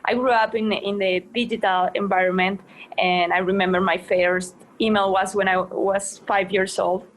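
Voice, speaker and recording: Young woman, from Ecuador, middle pitch, hesitant, frequent restarts. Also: little pitch movement, moderate pace, a little hiss or hum. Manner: hesitant, many fillers and restarts, phrasing of a second-language speaker. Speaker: guest, art marketer. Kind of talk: casual interview answers.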